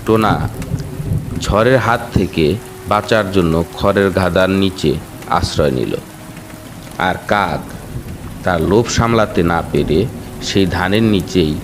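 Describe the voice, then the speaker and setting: A man's voice: sits low, measured pace, pauses often, slight background noise. A man narrating a children's cartoon fable as a scripted storybook tale.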